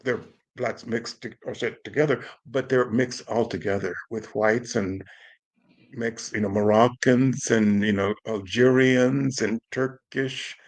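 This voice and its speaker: An older man from the United States, a guest in a casual interview, speaking haltingly with many restarts. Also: medium pitch, fairly even intonation. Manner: halting, frequent restarts and "you know".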